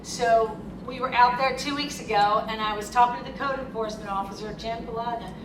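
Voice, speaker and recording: Woman with a Philadelphia accent, chatty keynote speaker, pitched a bit high, picked up from afar in an echoey, noisy hall. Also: a little fast, far from the mic. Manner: lively, conversational and chatty. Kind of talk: conference keynote talk. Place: conference hall.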